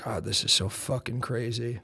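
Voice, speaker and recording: A young man with a deep voice, streaming and chatting casually, loud and brash, swinging from shouts to mutters. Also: steady tone, normal speed, creaky, husky. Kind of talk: casual live-stream chat.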